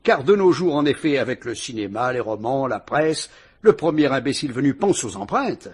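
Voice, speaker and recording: An actor playing a shrewd police inspector who questions a suspect with dry irony, in a vintage radio drama. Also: male voice, medium pitch, sing-song, normal speed, darkish tone, husky. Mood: probing, ironic. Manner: sly, probing, mocking interrogation.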